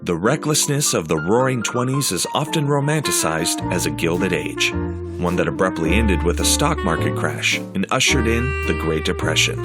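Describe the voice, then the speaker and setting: Deep-voiced male documentary narrator. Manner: measured, formal delivery. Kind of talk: scripted narration.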